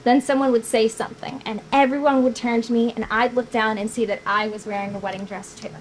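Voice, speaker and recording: A woman's voice: fairly high pitch, fairly even intonation, brisk, lightly acted, poor audio. A woman reading the part of a wistful, teasing young woman at a screenplay table read. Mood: wistful, confiding. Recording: noticeable background noise.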